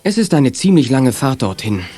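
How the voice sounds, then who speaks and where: A man's voice: mid-range voice, sing-song, normal speed, warm tone, soft background music, natural voice. A man narrating a children's adventure radio play in a scripted, dramatic storyteller's style. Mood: scene-setting.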